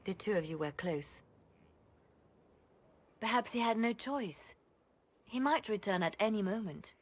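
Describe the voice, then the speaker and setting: A woman playing a shrewd spymaster in a video game, speaking in a measured, formal, knowing way. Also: medium pitch, lively intonation. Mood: grave, sympathetic. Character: composed, shrewd female spymaster advising her lord. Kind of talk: video game cutscene voice acting. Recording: music behind the dialogue.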